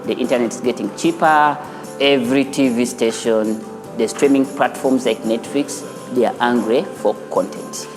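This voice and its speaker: A man, a studio founder and teacher, talking in an earnest, unhurried way with small restarts in a documentary interview. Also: medium pitch. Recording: interview over loud background music.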